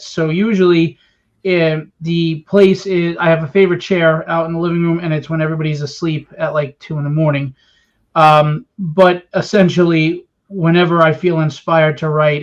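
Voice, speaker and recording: A man's voice: fairly high pitch, steady tone, normal speed. A man talking casually and candidly as a guest on a panel, explaining his own habits. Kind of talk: casual conversational answer. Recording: dull lo-fi audio.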